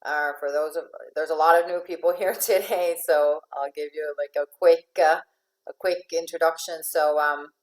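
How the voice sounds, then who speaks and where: A low-pitched woman from Finland who grew up in Venezuela talks to an online audience as an astrological life coach. She speaks loosely and conversationally, with frequent fillers and restarts. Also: fairly even intonation, moderate pace, low-quality recording. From Finland, raised in Venezuela. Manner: chatty with many fillers and restarts, laughs often. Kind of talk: informal talk to an online audience.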